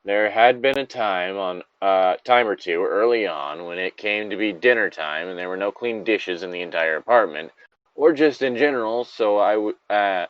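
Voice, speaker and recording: A man's voice: fairly low pitch, moderate pace, smooth, dry recording, muffled recording. A man chatting casually and reading aloud, swearing freely and rambling off on digressions. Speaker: podcast co-host reading a story aloud with commentary. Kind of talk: casual chat with story reading.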